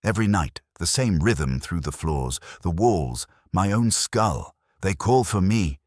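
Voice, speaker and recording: Male reader as haunted gentleman villain for mystery audiobook: low voice, agitated, pleading, swinging intonation. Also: lively intonation, unhurried, dry recording, studio quality. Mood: haunted, breaking. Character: desperate, guilt-haunted villainous gentleman with a revolver.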